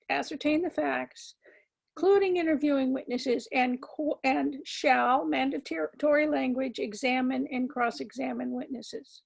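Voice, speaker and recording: A woman with a scratchy voice giving a measured, emphatic legal lecture to attorneys, with frequent ums and words repeated for stress. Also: high-pitched, gravelly. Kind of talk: continuing-education lecture.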